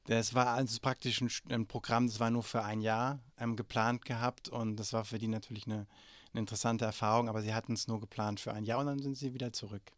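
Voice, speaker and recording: A man's voice: mid-range voice, little pitch movement, quick pace, no room sound. A man in his forties from Germany, answering interview questions hesitantly with many fillers and restarts. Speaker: interview guest.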